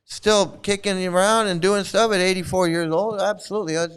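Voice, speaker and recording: A middle-aged man rants in loose commentary, scornful and profane, circling back to the same words again and again. His voice sits high for a man and comes through smooth and clear. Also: steady tone, a little fast. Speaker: commentary channel host. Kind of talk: casual ranting commentary.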